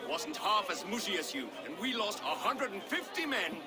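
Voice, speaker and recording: An actor playing a belligerent, mocking Danish warrior who sneers and taunts in a video game cutscene. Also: male voice, high voice, very animated, moderate pace. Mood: scornful. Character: belligerent, mocking male Danish warrior, bride's hostile brother. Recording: loud music and crowd behind.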